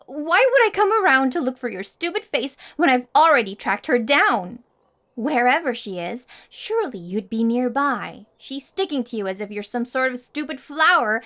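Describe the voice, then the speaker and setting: Woman acting a haughty, high-voiced popular girl. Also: a bit bright. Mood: angry, possessive.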